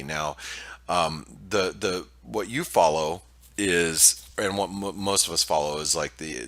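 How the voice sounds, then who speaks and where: A man with a very deep voice that still sounds bright and forceful. He is nasal and stuffed up, and he talks through a market preview with lots of "um"s and false starts. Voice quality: nasal from allergies. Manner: hesitant, many fillers and restarts. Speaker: market analyst presenting weekly preview. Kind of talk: casual screen-share market commentary.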